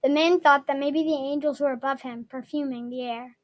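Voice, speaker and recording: A young woman with a high voice reads a story aloud. She is a student reader working from a script, narrating in the third person. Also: steady tone, normal speed, lo-fi sound. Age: teens or young adult. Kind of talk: scripted narration with acted quotes.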